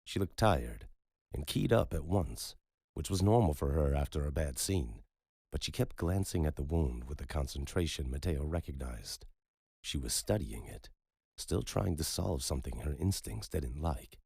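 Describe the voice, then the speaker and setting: A man narrating a dark thriller audiobook in a measured, moody storytelling manner. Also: rumbling low, lively intonation, gravelly, husky, no echo, clean studio sound.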